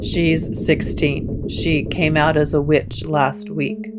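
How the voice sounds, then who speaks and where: Woman voicing an anxious middle-aged father, slow and hesitant. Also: sits low, little pitch movement, voice pushed down. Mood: anxious, regretful. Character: anxious, remorseful father in his early fifties. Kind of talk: gothic audio drama acting. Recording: clear music bed under the voice.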